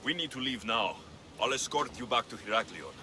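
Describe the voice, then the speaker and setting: A man's voice: mid-range voice, expressive, a little fast, noisy background, natural voice. A male actor playing a confident, blunt mercenary hero in a video game cutscene, firm and matter-of-fact. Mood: calm, resolute.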